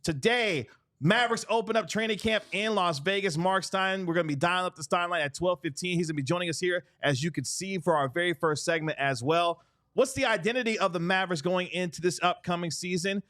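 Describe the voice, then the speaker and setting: High, bright-voiced male podcast host. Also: brisk. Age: 30s. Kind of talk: upbeat sports show introduction and banter.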